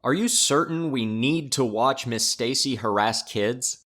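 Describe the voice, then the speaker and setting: A skeptical man doing the questioning in an audio drama, played by an actor. His voice sits at a middle pitch. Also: measured pace, fairly bright tone, slight background noise, lightly acted. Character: skeptical man questioning the people before him.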